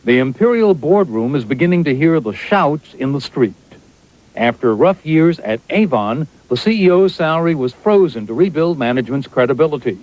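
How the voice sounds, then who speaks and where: Guy doing a punchy news voiceover, higher voice, muffled tv audio. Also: animated, moderate pace. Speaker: reporter. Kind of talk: news report voice-over. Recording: muffled old TV broadcast.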